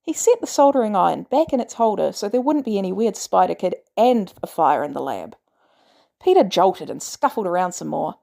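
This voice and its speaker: Woman reading a fanfic out loud, soft mellow tone, very animated pitch. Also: brisk, gravelly. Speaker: podfic narrator. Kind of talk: scripted fan fiction narration.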